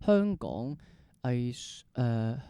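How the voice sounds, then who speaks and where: A podcast host with a Hong Kong Cantonese accent, interviewing casually in an excitable way full of exclamations. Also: medium pitch, very slow, smooth, no echo, clean studio sound.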